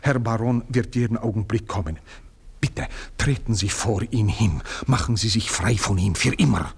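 An actor playing a scheming, self-assured household servant in a radio play, speaking in a clipped, officious manner. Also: male voice, normal speed, vocal fry, breathy edge, exaggerated voice. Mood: insistent. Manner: clipped, officious, bending pitch sharply.

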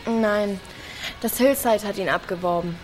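A woman's voice: mid-range voice, unhurried, faint music behind, lightly acted, rough recording. A woman acts a teenage village girl in a naturalistic radio play. She is wary and blunt, answering in short, dry phrases. A shy affection sits under the guardedness. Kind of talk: naturalistic radio drama dialogue.

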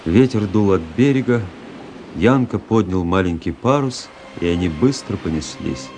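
Low-voiced, reflective officer. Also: male voice, lively intonation, darkish tone, slight background noise. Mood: wistful, reflective. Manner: measured, reflective storytelling. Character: wry, melancholy young male army officer recounting his adventure. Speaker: narrator of a radio play. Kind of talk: dramatic literary radio narration.

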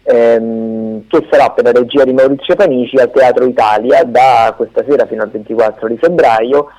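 A man chatting unscripted on the radio as he runs through theatre listings, halting and often correcting himself. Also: medium pitch, fairly even intonation, warm tone, poor audio. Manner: hesitant, halting, self-correcting. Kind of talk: unscripted radio chat, event rundown.

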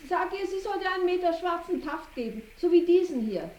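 Naggy mum in a radio play, really shrill high pitch, echoey and far from mic, bit of hiss. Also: female voice, expressive, brisk, a little mellow. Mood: brisk, bossy. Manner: scolding, bossy. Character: nagging mother ordering her young son about. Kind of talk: German radio play acting. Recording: roomy, distant, clear sizzling noise.

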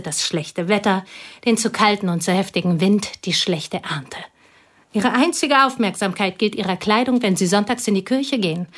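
An actress playing a provincial woman recalling an old school friend, speaking quietly and wistfully in a radio drama. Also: on the low side, natural voice. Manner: quiet, wistful, partly whispered.

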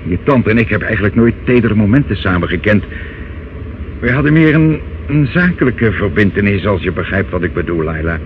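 An actor in a Dutch radio play, playing a self-satisfied, menacing older uncle who wheedles and talks down in a hesitant, smooth way. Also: male voice, lowish voice, very animated, a little fast. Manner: wheedling, hesitant, condescending, calls listener child. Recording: dull, noisy old radio recording.

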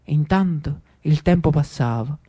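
A woman with a Neapolitan accent narrating a dramatic monologue as a storyteller, in deliberate, short phrases. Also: deep voice, dark tone, slightly rough. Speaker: actress, storyteller.

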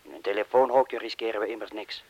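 Een acteur die in een hoorspel een aarzelende, bezorgde inbreker speelt, hees en vragend. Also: male voice, fairly low pitch, steady tone, fast talker, a little mellow, slight background noise, close to an everyday voice. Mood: worried. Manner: doubtful, questioning. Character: hesitant, anxious burglar worried about his mate. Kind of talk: Dutch-language radio drama acting. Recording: dull lo-fi radio play recording.

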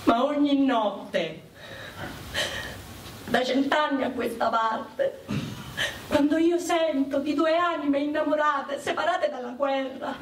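Actress as high-voiced, proud war woman, echoey. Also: takes it slowly, long pauses, a little hiss or hum. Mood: sorrowful, proud. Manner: dramatic, emotive storytelling. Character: Sicilian woman war porter, dead a century, proud, lovelorn. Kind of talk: theatrical first-person monologue. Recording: echoey, distant miking, music behind.

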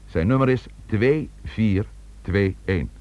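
An actor playing a commanding, determined police chief with a terse, authoritative manner in a Dutch radio play. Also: male voice, fairly low pitch, lively intonation, slow pace, a little mellow, lo-fi sound. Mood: insistent, commanding.

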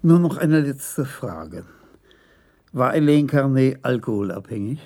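An actor playing a senior police investigator in a German radio crime drama, measured, composed and politely probing. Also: male voice, mid-range voice, animated, a little mellow.

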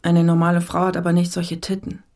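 A woman's voice: lowish voice, monotone, fairly quick, a little mellow, close to an everyday voice. An actress playing a blunt, self-mocking working-class cleaning woman in a naturalistic radio drama. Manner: blunt, plain-spoken, colloquial.

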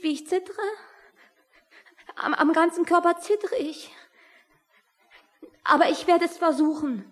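An actress playing a timid, soft-hearted woman in a radio play, dramatic and earnest. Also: high voice, long reverb tail. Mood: fearful. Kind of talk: German radio play acting.

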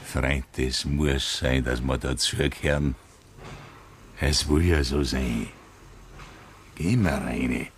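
A man's voice: rumbling low, fairly even intonation, slow, long pauses, a little hiss or hum. An actor playing a jovial, beer-loving man with a Bavarian accent in a 1960s radio play, folksy and cajoling. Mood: confiding.

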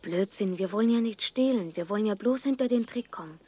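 A performer playing a bold, inquisitive young boy in a children's radio play, eager and insistent, with a husky voice. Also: mid-range voice, fairly quick, warm tone, natural voice. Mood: hushed, daring.

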